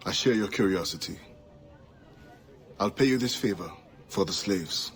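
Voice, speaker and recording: An actor playing a proud, guarded assassin who was once enslaved, speaking in a grave, formal, wary way in a video game cutscene. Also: male voice, sits low, a little slow. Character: proud, guarded freed-slave assassin, adult man.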